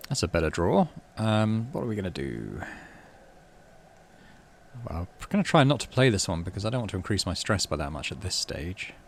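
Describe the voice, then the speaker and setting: A man's voice: sits low, big pitch swings, fairly quick, a little hiss or hum. A man hosting a playthrough, reading game text aloud with animation and muttering offhand commentary to himself. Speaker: gaming channel host.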